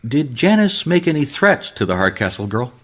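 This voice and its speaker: A man's voice: mid-range voice, sing-song, normal speed. An actor playing a beleaguered, earnest private detective in an old-time radio mystery, a man who stumbles over his words. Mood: probing, careful. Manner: hesitant, repeats himself when flustered. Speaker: private investigator character and narrator.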